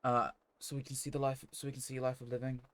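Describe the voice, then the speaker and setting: A man's voice: mid-range voice, level tone, fast talker, a bit bright. A man live streaming a game, thinking aloud in casual commentary over a noisy stream mic.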